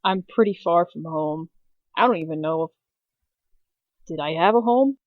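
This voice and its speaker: A man's voice: a little high, moderate pace, no room sound, close to an everyday voice. A man playing a conscience-troubled wanderer in a tabletop game, hesitant and reflective, on slightly dull audio. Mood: troubled, confessional. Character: uncertain, conscience-troubled wanderer far from home.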